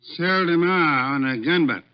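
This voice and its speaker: An actor playing a brusque, pedantic private detective in a vintage radio drama, speaking formally and precisely with a gravelly, pompous delivery. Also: male voice, a little high, unhurried, close to an everyday voice. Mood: brusque, inquisitive. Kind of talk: 1940s-50s radio drama acting. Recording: dull vintage radio recording.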